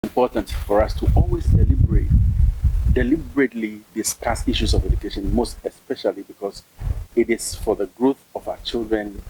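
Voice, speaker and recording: Man, news host, lowish voice, measured, with clear noise behind. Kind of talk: broadcast interviewing. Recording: noisy studio recording.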